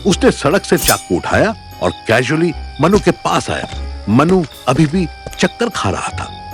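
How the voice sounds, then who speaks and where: A man's voice: on the high side, big pitch swings, unhurried, faint music behind. A man narrating a dramatic audio story in a scripted storytelling style.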